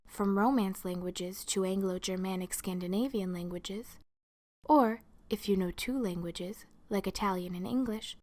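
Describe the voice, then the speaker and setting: A woman with a mid-pitched voice narrates an educational video as a lecturer. She reads from a script in a formal register. Her delivery is measured, and each phrase is carefully articulated. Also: warm tone.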